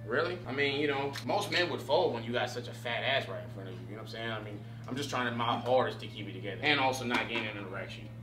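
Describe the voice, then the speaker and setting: A man's voice: medium pitch, expressive, fast talker, hardly any pauses, reverberant, over music, low-quality recording. A man in his twenties giving a joking, crude, flirty tour of his apartment as the vlog host. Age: 20s. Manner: swaggering, crude, teasing. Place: apartment room indoors.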